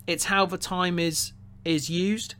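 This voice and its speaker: High-pitched, halting, analytical man. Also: fairly even intonation, bright, forceful tone, clean tone. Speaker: podcast co-host reviewing films. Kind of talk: casual analytical review chat.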